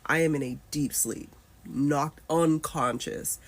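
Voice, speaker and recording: A woman's voice: low voice, steady tone, slow pace. Candid, swearing woman telling a story. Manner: candid, swears freely, emotional retelling. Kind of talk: personal story told to camera.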